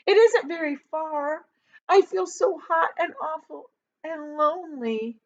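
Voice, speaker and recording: Miserable small boy for a children's storybook, voiced by a woman, high tearful plea, timid, slightly dull recording. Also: slow pace. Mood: tearful, pleading. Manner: plaintive, tearful begging.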